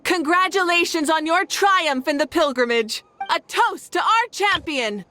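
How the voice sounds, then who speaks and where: A woman's voice: very high voice, lively intonation, measured pace, pushed and bright, a little hiss or hum, exaggerated voice. An actress voicing a confident female ruler in a game, proud and commanding. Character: confident fiery female ruler, warm champion. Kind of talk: game cutscene voice acting.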